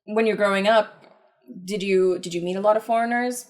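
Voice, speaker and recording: A woman's voice: medium pitch, steady tone, brisk. A woman co-hosting a podcast in a chatty, casual way. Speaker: podcast co-host. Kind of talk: casual interview chat.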